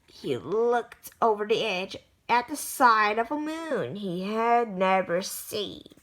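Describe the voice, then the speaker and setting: Slow, bright-voiced woman reading aloud. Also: fairly high pitch, a little hiss or hum. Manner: slow, expressive storytelling with lively emphasis; occasional stumbles and restarts while reading. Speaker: reader of a children's picture book.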